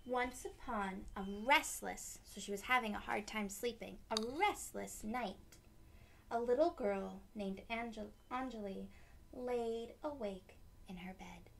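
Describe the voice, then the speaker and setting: A woman's voice: medium pitch, a little slow, vocal fry, fairly bright tone, a little hiss or hum. A young adult woman hosting a children's read-aloud, explaining things simply and expressively as she goes. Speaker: storytime host, teacher. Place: small decorated room indoors.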